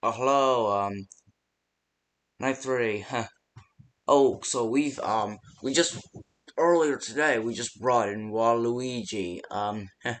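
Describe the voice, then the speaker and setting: A man's voice: mid-range voice, slow, fairly bright tone. A man giving casual, improvised phone-call voice lines for a game, hesitant and rambling with many ums. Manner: casual, unpolished delivery. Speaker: phone-call guide in a fan horror game.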